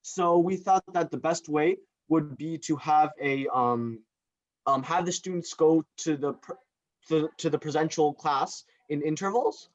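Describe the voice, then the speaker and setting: A man's voice: on the high side, measured pace, no room sound. A young man in a student competition answering a judge over a video call, hesitant and full of filler sounds. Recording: dull, dry video call audio.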